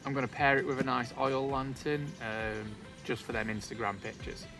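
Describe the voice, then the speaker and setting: A man's voice: mid-range voice, moderate pace, over loud music, rough recording. A man, casually reviewing outdoor gear while sitting outdoors in a wood, talking to a camera on a tripod. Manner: chatty, relaxed, light joking asides. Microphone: camera on a tripod, also handheld selfie while walking.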